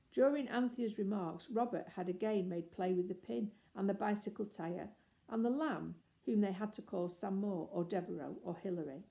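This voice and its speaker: A woman's voice: medium pitch, gravelly, mellow. A woman narrating a children's story expressively, as an audiobook reader.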